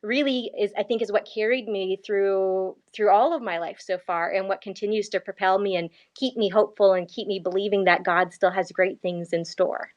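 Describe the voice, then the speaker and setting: Woman missionary guest chatting earnestly, laughs a lot, mid pitch, sounds sincere. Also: normal speed, few pauses, muffled recording. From Canada. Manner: earnest, animated storytelling with frequent laughs. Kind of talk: conversational faith interview.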